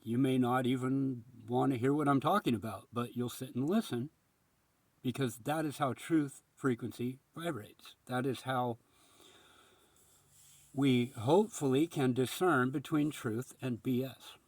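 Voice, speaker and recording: A man with a husky voice giving a rambling, reflective spiritual monologue in an earnest, contemplative manner with emphatic pauses. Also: mid-range voice, a little mellow, dry recording. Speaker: spiritual speaker.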